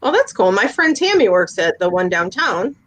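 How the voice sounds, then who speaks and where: Woman in her fifties just chatting away, telling little stories, medium pitch. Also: sing-song, moderate pace. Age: 50s. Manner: chatty, anecdotal. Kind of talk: casual chat.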